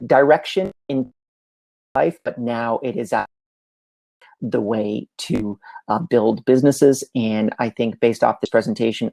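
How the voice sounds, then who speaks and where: Gravelly Canadian man giving a talk. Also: mid-range voice, rough recording. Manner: energetic, conversational, frequent "uh" fillers. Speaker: conference presenter. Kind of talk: live online presentation.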